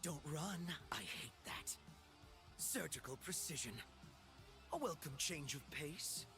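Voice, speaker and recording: A man's voice: fairly high pitch, lively intonation, takes it slowly. A man voicing a cocky, power-hungry young villain in a video game, smug, dramatic and teasing. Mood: smug, cool. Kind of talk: video game character voice lines. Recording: game music behind the voice.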